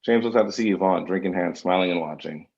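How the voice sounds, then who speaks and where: A man's voice: low-pitched, fast, creaky, a little mellow, husky, dull audio. A man reading scripted screen directions as narrator at a table read. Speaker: stage-direction reader.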